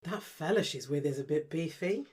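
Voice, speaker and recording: A woman's voice: low voice, lively intonation, moderate pace, darkish tone, husky. A woman playing a brash, flirtatious, down-to-earth character in a comic audio drama, talking in a chummy, blunt, colloquial way.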